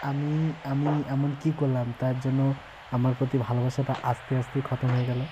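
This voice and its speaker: A man's voice: medium pitch, flat delivery, normal speed. A young man in a casual phone argument, questioning insistently and repeating himself. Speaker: caller. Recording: noisy hiss with clicks.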